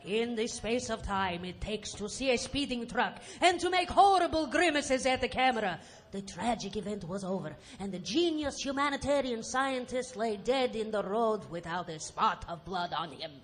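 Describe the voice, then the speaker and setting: Give me a woman as a campy doctor hosting schlock horror, narrating theatrically in a fairly high voice over faint music. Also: expressive, moderate pace, few pauses, lightly acted. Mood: mock-dramatic. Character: campy horror-show host doctor, tongue-in-cheek narrator. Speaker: host and narrator. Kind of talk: live theatrical scripted narration.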